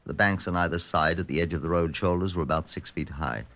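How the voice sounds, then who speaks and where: A British man playing a grim, dry police chief inspector in a measured, understated radio drama style. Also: low-pitched, a bit bright, a little hiss or hum. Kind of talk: 1950s radio drama acting and narration.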